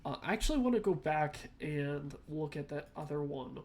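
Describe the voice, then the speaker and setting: A man with a slightly high voice hosts a reaction video. He comments casually and off the cuff, working out his thoughts aloud in short, halting pieces. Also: animated, darkish tone.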